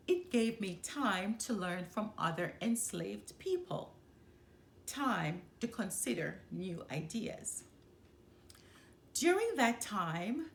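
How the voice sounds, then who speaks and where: Female children's storybook reader, lively and varied pitch, measured dramatic phrasing, roomy sound. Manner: deliberate, dramatic phrasing with pauses. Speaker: storytime host reading a children's book aloud. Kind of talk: expressive first-person children's storybook narration. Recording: roomy, echoing room.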